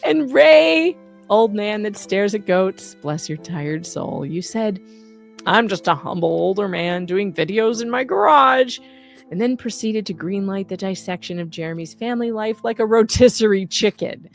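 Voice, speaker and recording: A woman's voice: medium pitch, lively intonation, normal speed, vocal fry, faint music behind. A woman reading out a scripted satirical roast as an online host, with a sarcastic, punchy, emphatic delivery.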